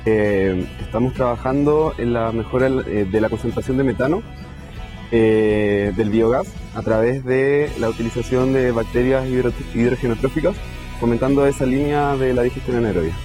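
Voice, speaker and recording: A man's voice: medium pitch, fairly even intonation, moderate pace, mellow, soft background music. A man from Chile with a Chilean accent, a student giving an interview testimonial with frequent fillers. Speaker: graduate student.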